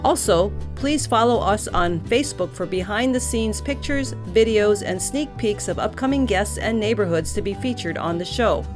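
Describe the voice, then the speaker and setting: A woman's voice: medium pitch, expressive, moderate pace, few pauses, music in the background. A woman with a Hawaiian accent hosting a lifestyle show in a chatty, playful way. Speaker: show host.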